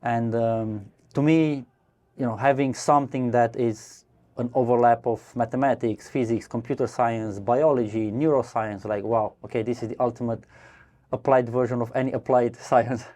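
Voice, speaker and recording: A man from Romania with a mellow, darker tone speaks as a podcast guest. He talks casually, stopping and restarting with many fillers and the odd laugh. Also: mid-range voice, steady tone, moderate pace. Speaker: guest, startup co-founder. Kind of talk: casual interview answers. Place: brewery podcast studio.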